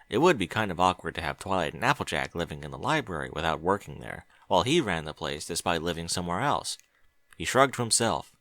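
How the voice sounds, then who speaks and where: A man narrating a story aloud in a bright, lively, expressive voice. The reading moves up and down with the plot. The audio is dry and close. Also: fairly low pitch, dry recording. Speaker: audiobook reader.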